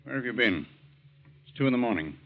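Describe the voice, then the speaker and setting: A man's voice: mid-range voice, animated, brisk, natural voice. An actor playing a proud, scolding middle-aged surgeon father in a 1940s American radio drama, imperious and clipped. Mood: stern, interrogating. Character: proud, stern, domineering wealthy surgeon father. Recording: dull old radio recording.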